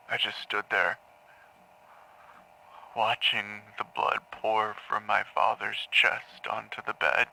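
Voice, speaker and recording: An actor playing a haunted man in an audio drama, confessing in halting, repetitive phrases to a grim crime. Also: fairly low pitch, creaky, pushed and bright, a little hiss or hum, full character voice, rough recording. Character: haunted man confessing to killing his parents in therapy. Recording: taped therapy session sound.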